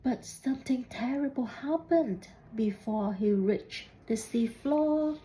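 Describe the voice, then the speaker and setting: A woman reading a children's storybook aloud in deliberate, halting phrases, putting questions to young listeners. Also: fairly high pitch, warm tone, slight background noise, low-quality recording. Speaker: student reading a picture book aloud.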